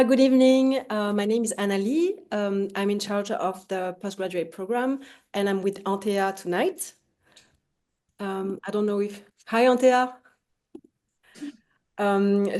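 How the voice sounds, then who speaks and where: A woman introduces a program in an informal webinar presentation. Her voice is mellow and mid-pitched, and she speaks hesitantly, with many pauses.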